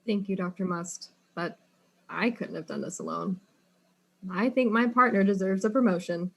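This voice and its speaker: A woman playing a brisk, wry, world-weary detective in a comedic audio drama. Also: medium pitch, gravelly, a bit bright.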